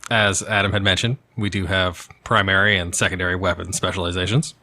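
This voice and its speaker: Bright, low-pitched man chatting as a player. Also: level tone, a little fast. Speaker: tabletop game player. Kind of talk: casual tabletop game chat.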